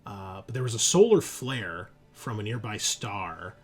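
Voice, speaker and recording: A man narrating a tabletop roleplaying game as game master, in an informal, halting way. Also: lowish voice, very animated, slightly rough. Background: music.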